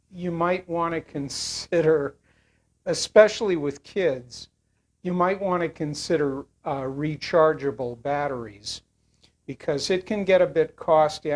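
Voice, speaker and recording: Slow-talking older product reviewer. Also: mid-range voice. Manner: hesitant, rambling delivery with frequent pauses. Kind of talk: casual unscripted product review.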